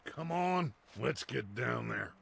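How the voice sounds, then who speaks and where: An actor voicing a grandiose, middle-aged American outlaw gang leader in a Western video game, with a commanding, theatrical way of speaking. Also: male voice, medium pitch, expressive, slow, gravelly, fairly bright tone, a little hiss or hum, heavily acted. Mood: measured.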